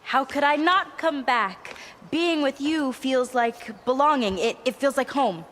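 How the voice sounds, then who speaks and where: A woman's voice: high voice, unhurried, a bit bright, a little hiss or hum. An actress playing a nervous, apologetic woman who is eager to please, in a video game scene. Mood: stiffly earnest. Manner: hesitant, flustered. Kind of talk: dramatic video game cutscene acting.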